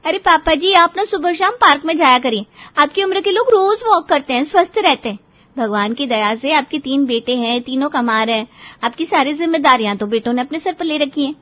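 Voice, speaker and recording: A woman playing an outspoken, cheerful and cheeky young daughter-in-law in a Hindi animated moral story, chirpy and persuasive. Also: high-pitched, a little fast. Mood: helpful. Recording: dull, lo-fi audio.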